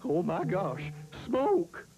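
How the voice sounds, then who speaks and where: An American man voicing an excitable, dim animal deputy in a 1960s cartoon, earnest, bumbling and gung-ho. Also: high voice, extremely slow, heavily acted. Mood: alarmed. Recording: old cartoon soundtrack with loud music.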